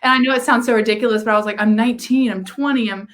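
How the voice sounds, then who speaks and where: A woman's voice: a little high, steady tone, fast, noisy background. A young woman, a singing client who talks candidly and laughs at herself in an interview. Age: young adult. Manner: candid, self-deprecating, laughs often. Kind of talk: casual interview.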